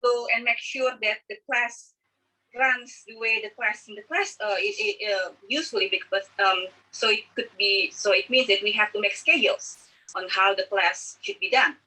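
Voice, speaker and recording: A woman from Indonesia presenting as a teacher in an informal, halting way, often repeating herself. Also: medium pitch, pushed and bright. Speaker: teacher presenting a class schedule. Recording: distant microphone on online call.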